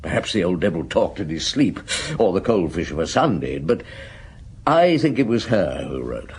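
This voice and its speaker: Shrewd middle-aged detective, male, radio drama: husky, dry and deliberate, with wide swings in pitch. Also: very animated, darkish tone, full character voice. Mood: weary, reflective. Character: shrewd, world-weary male police inspector, reminiscing.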